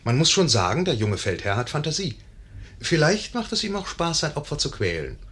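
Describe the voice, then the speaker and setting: A husky, wry storyteller. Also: male voice, fairly high pitch, sing-song, brisk, a bit bright, heavily acted. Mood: wry, conspiratorial. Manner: chatty, ironic. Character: wry, musing narrator addressing the audience. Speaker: narrator. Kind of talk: 1950s German radio play narration.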